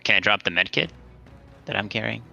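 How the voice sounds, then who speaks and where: Male tabletop player, low creaky voice with a hard bright edge, casual and wry. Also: expressive, a little fast, faint music behind. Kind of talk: casual game chat.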